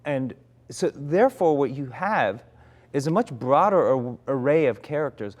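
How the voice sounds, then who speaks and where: A man's voice: medium pitch, expressive, moderate pace. A man in his forties, an actor, reflecting candidly in conversation, often restarting his sentences. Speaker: actor, interview guest.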